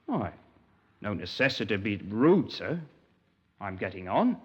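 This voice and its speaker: A naive young English scoutmaster in an old radio crime drama. His pitch leaps about eagerly as he rambles politely, hesitating and easily affronted. The sound is dull and narrow, like an aged broadcast. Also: sing-song, measured pace, darkish tone, husky, full character voice. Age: young adult. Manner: polite, hesitant, wordy, punctuated with sir. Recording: dull, band-limited 1950s radio recording.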